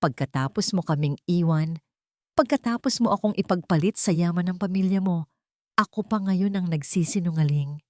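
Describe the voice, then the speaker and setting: A woman's voice: fairly low pitch, normal speed, no room sound, clean studio sound, natural voice. A woman voicing a bitter, wounded single mother in an audio drama, emphatic and accusing. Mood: angry, hurt. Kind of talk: dramatic audio story acting.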